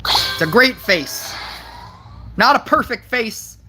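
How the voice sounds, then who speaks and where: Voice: mid-range voice, expressive, slow, music in the background, rough recording. A game streamer chatting casually over mobile gameplay, giggly and rambling with lots of fillers. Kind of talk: casual gameplay commentary with chat.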